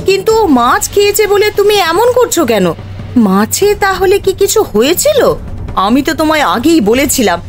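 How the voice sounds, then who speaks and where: A worried village wife and mother from a cartoon, acted by a woman, earnest and quick to scold. Her voice sits high, and faint music plays behind her. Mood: alarmed, reproachful. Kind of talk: cartoon voice acting.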